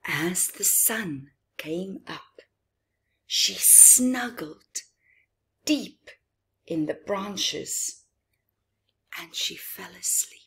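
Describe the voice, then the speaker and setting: A middle-aged woman reading a story aloud to young children, expressively, with dramatic pauses and chatty asides. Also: lowish voice, animated, a bit bright, breathy edge, whispered. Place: living room, indoors.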